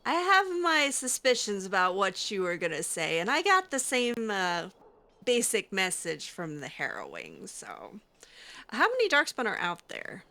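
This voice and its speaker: Woman playing a bright, lively skeptical young mage. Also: mid-range voice, measured pace, exaggerated voice. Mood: skeptical, hesitant. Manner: hesitant, questioning, sardonic asides. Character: skeptical, curious young female mage recruit. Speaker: roleplay gamer. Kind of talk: improvised in-character game roleplay.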